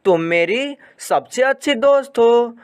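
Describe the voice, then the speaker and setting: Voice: medium pitch, animated, takes it slowly, clean tone. A narrator voicing a practical young male bird in a cartoon story, speaking in a firm, matter-of-fact manner. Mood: firm refusal. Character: practical young male bird, firmly refusing a friend's proposal.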